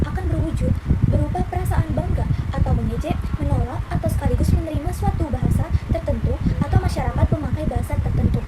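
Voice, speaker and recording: A young woman reading a scripted academic presentation aloud, reciting it point by point like a student. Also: sits high. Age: young adult. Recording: loud background noise with clicks.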